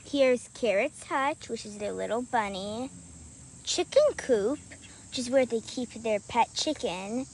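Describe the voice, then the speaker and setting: A female with a high-pitched voice shares a children's book in a slow, deliberate way, mixed with casual chat. She is recorded outdoors, with insects and nature sounds audible behind her. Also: a little mellow. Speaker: co-host reading a children's book aloud. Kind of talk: casual chat and storybook read-aloud. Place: outdoors by a marshy trail. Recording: outdoor recording with clear insect and ambient noise.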